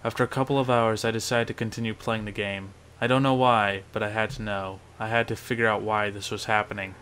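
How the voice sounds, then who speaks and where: A man's voice: lowish voice, fairly even intonation, brisk, fairly bright tone, clear, resonant tone. A man reading a first-person horror story as a creepypasta narrator. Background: music.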